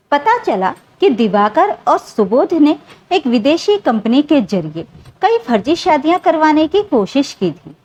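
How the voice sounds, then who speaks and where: A woman's voice: high-pitched, darkish tone. A woman reading a scripted dramatic story as narrator, in an emotive storytelling manner.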